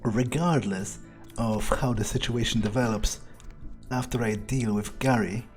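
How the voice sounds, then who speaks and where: A man's voice: mid-range voice, a little slow, faint music behind, natural voice. A man voicing a cynical, amused, ruthless vampire as he improvises in-character roleplay in a video game. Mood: amused, scheming. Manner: hesitant, musing, sardonic, swearing.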